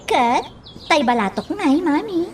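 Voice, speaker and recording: A woman's voice: high voice, very animated, measured pace, slight background noise. A woman playing a chatty young woman in an Ilocano radio romance drama. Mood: inquisitive.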